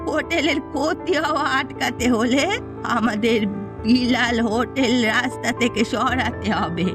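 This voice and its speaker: A woman acting a high-voiced scheming old mother. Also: a little slow, over music, natural voice. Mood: scheming, confident. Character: scheming, vengeful elderly mother running a village eatery. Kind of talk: cartoon story voice acting.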